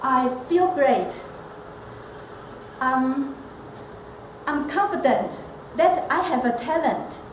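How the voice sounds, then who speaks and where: Woman, high voice, slow deliberate pace, earnest prepared speech, echoey noisy room. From Taiwan. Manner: measured, earnest, with occasional restarts. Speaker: elementary school teacher and club member. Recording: echoey room with steady background noise.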